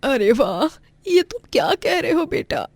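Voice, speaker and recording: A woman acts out an elderly village mother in a cartoon moral tale. Her voice is creaky and dry-recorded. She is kindly, grateful and affectionate. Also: sits high, sing-song, measured pace, a little mellow, no room sound, full character voice. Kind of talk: Hindi cartoon moral story acting.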